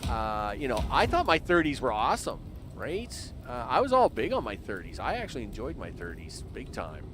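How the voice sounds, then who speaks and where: A man's voice: medium pitch, wildly swooping pitch, normal speed, background noise. A man in his early fifties, rambling casually with lots of fillers while commenting on his own game during a live stream. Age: 50s. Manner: rambling, chatty, talks to himself and chat with lots of fillers.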